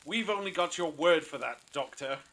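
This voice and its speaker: A man's voice: fairly high pitch, animated, moderate pace, pushed and bright. A man playing a menacing, accusing leader in an audio drama, commanding and sneering as he interrogates. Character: menacing, accusing male leader bullying the Doctor.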